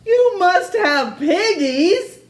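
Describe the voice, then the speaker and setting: A shrill, grouchy storybook witch, as a woman reader puts her on, screeching her demands with a voice that leaps up and down. She sounds high and sharp, in a roomy, echoey space. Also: sing-song, very slow, exaggerated voice. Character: grouchy hungry old witch, shrill and bossy.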